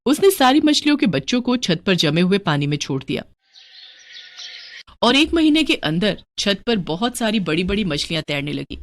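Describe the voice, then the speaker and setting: A woman's voice: mid-range voice, sing-song, normal speed. A woman narrating a scripted moral story as a storyteller.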